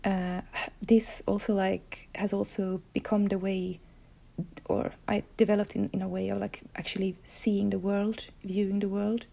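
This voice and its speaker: Gravelly, even-toned Finnish-woman. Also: medium pitch, unhurried. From Finland. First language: Swedish. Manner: thoughtful, searching for words. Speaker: illustrator and comics artist. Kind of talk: reflective life-story monologue.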